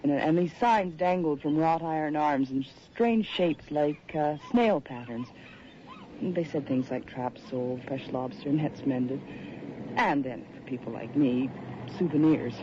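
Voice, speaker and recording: An uneasy woman writer, muffled radio. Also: low-pitched. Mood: reflective. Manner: measured, literate, dramatic phrasing. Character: polite, uneasy woman writer on a seaside holiday. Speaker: narrator and lead character. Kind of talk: old-time radio drama acting, reflective narration. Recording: muffled, noisy old radio recording.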